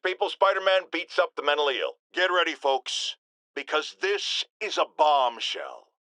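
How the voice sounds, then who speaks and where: A man's voice: high voice, sharp tone, no echo, exaggerated voice. A man playing a hot-tempered, self-righteous radio talk show host in a video game, ranting emphatically with theatrical indignation. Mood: dramatic, announcing. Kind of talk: bombastic radio call-in rant.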